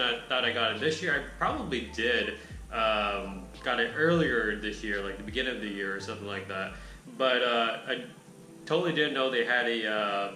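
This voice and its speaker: A man reviewing a beer in a relaxed, rambling way with a raspy voice. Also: medium pitch, roomy sound, rough recording. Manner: laid-back, rambling, lots of filler words. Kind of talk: casual chat.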